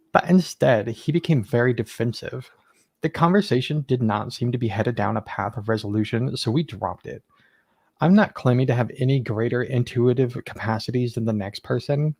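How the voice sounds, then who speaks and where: A man's voice: medium pitch, darkish tone, no room sound. A man giving a dramatic reading of a written account, with brief wry asides. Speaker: podcast co-host reading a document aloud.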